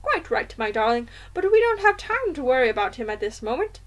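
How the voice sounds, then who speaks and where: A woman voicing a brisk, determined elderly grandmother who speaks emphatically in a storybook reading. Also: high-pitched, big pitch swings. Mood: conspiratorial, fond. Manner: emphatic, forthright.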